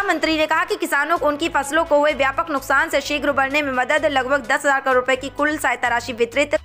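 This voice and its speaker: A woman news anchor reading scripted headlines in a brisk, formal bulletin style. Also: high-pitched, pushed and bright.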